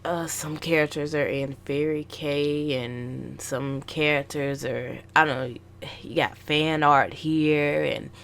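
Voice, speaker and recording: A woman's voice: deep voice, fairly even intonation, slow pace, vocal fry, fairly bright tone, a little hiss or hum. A young woman artist casually rambling about her goals, stuffy from a flu, with many ums and restarts. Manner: meandering, lots of ums and restarts. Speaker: artist vlogger.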